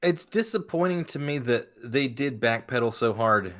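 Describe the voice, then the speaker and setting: A man co-hosting a podcast, chatting casually and giving opinions in a halting, blunt way with some swearing. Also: medium pitch, expressive, fairly bright tone. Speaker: podcast co-host.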